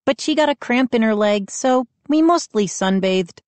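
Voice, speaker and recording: A woman's voice: fairly high pitch, lively intonation, moderate pace, darkish tone, dry recording, voice pushed up, close to an everyday voice. A woman voicing a perky, chatty young girl.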